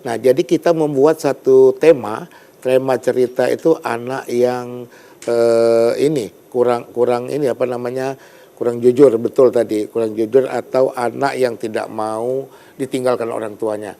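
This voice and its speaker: A man's voice: medium pitch, fairly even intonation, normal speed, gravelly, dark tone. A man who is a storyteller and teacher, explaining hesitantly in a TV studio interview and searching for words with many fillers.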